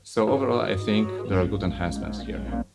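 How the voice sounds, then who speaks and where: A man with a Polish accent, a native Polish speaker, giving a casual software review and demo with plenty of "uh" and "you know". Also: on the low side, a little fast, over loud music. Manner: relaxed, explanatory, with frequent "uh" and "you know". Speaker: audio plugin reviewer.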